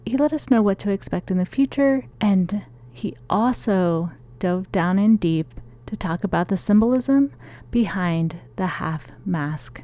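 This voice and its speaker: A woman's voice: lowish voice, warm tone. A woman hosting a radio interview, reading a scripted introduction and her prepared questions.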